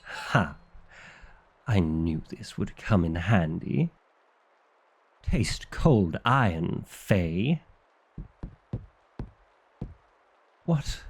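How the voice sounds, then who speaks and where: A man's voice: low voice, sing-song, drawn-out words, pauses often, a little mellow, husky, exaggerated voice. A man playing a bookish, exasperated vampire librarian in an ASMR roleplay, wry and theatrical with flustered indignation. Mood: triumphant.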